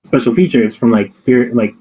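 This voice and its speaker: A man's voice: mid-range voice, fairly quick, warm tone, husky. A young man hosting a casual chat, telling stories with lots of "like" and laughing often. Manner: filler-heavy storytelling, laughs often. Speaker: podcast host.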